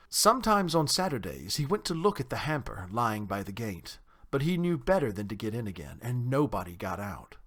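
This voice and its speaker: A man narrating a children's story in an expressive, dramatized storytelling manner. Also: mid-range voice. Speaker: audiobook narrator.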